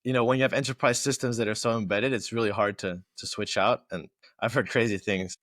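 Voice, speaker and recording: A man in his twenties with a Dutch-tinged accent, hosting a casual interview, friendly and quick to laugh as he asks open questions. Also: lowish voice, fairly even intonation, a bit bright, no room sound, clean studio sound. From born in Boston, raised in France, the US and Spain. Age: 20s. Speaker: host.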